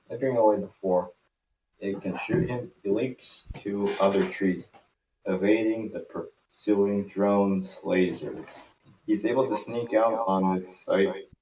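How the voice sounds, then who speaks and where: A man giving halting amateur narration of a script at a table read. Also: sits low, warm tone. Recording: roomy, distant mic with faint noise.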